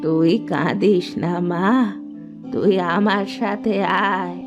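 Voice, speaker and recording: Brief: woman doing a soft-spoken old granny, slow and kindly, cartoon. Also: lowish voice, steady tone, dull audio, over music, pitched below the performer's own voice. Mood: gentle. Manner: gentle, slow and soothing. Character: kind old village grandmother.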